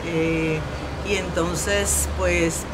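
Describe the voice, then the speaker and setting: A middle-aged woman with a Dominican accent talks reflectively about her art in an interview, pausing often. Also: fairly low pitch, fairly even intonation, heavy background noise. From Dominican Republic. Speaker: visual artist, interview guest.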